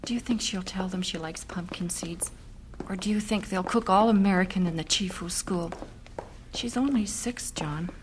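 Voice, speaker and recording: A woman's voice: medium pitch, normal speed, slight background noise, lightly acted. A woman playing an anxious, devoted missionary wife and mother in an audio drama. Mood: distressed, weary.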